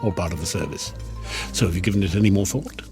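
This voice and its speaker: An actor playing a sardonic, chatty devil in an audio drama, theatrical and mocking, with a husky voice. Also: male voice, low-pitched, quick pace, darkish tone, soft background music, natural voice. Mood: sly, dismissive. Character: sardonic, wheedling male devil, casual and mocking.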